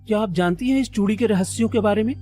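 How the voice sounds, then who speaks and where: A man's voice: high-pitched, quick pace, a little mellow, faint music behind. A man voicing a brave, kind-hearted young hero in a cartoon story, earnest and emphatic in his delivery. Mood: earnest, worried. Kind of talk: Hindi cartoon story voice acting.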